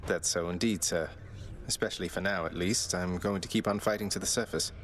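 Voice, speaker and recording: An actor playing an earnest young prince of the underworld in a video game, polite, understated and lightly wry. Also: male voice, sits low, steady tone, fairly quick, slight background noise, close to an everyday voice. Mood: wry, content. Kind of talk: video game character dialogue. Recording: faint music behind the voice.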